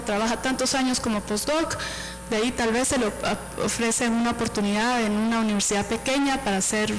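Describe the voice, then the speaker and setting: A woman professor speaks in a reflective, thoughtful way on a university panel. Her voice is a little higher than usual, with a faint hum in the recording. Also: poor audio. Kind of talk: panel talk.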